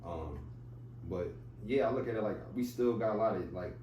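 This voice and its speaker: Low voiced guy in his 30s, laid back, rambly, echoey room, mic kinda far, noisy. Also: normal speed, lo-fi sound. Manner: laid-back, talks in loose run-on phrases with many "like"s. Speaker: podcast guest, entrepreneur. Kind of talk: casual chat.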